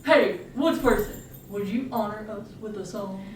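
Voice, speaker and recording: A woman's voice: medium pitch, normal speed, close to an everyday voice. A woman voicing a friendly, encouraging reindeer puppet in a children's show, recorded in an echoey room. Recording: echoey room, distant mic, faint noise.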